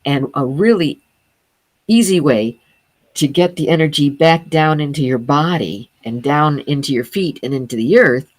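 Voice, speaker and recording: A woman with a low voice for a woman, a sound healing teacher speaking as a guest, explaining earnestly with many fillers and restarts. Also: moderate pace, a little mellow, no echo.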